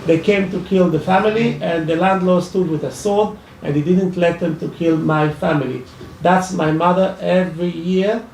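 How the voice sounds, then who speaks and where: Gravelly man with an Iraqi accent, echoey hall. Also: high voice, fairly even intonation, a little mellow, slight background noise. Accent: Iraqi Arabic. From Iraq. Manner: halting, many restarts and fillers. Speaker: speaker at a public discussion. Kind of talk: personal testimony at a talk. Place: library event room. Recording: echoey hall, mic from far off.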